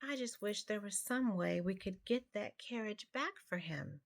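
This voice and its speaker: A woman's voice: mid-range voice, expressive, measured pace, vocal fry, voice pushed up. A woman voicing a sweet, helpful little girl kitten in a storybook, earnest and chirpy. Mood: wishful. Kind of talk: storybook character voice.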